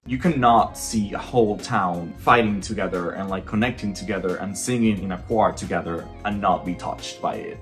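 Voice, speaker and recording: A man from the Dominican Republic, a native Spanish speaker, giving a casual, opinionated film review in an emphatic, conversational way. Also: fairly low pitch.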